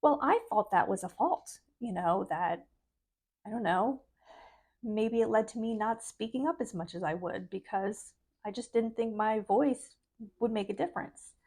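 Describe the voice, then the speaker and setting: A middle-aged woman with a soft voice, talking earnestly and casually to camera as a spiritual vlogger. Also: mid-range voice, lively intonation, normal speed, slightly rough. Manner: earnest, chatty, laughs between thoughts.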